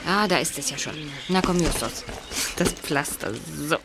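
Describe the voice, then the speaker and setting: A woman's voice: low voice, normal speed, background noise, natural voice. A woman voicing a curious teenage boy detective in a radio play, asking and amazed. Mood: caring. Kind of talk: amateur radio play acting.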